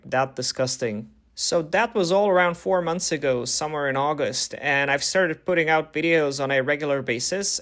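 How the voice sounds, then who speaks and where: Casual young man, Croatian native. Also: mid-range voice. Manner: relaxed, chatty, many fillers like "like". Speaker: YouTube channel host. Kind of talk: casual talk to camera, Q&A.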